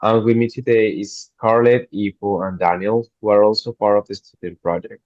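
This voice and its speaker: A man's voice: on the low side, steady tone, moderate pace, dry recording, highs cut off. A man hosting a student interview, reading his introductions and questions in an upbeat, friendly way.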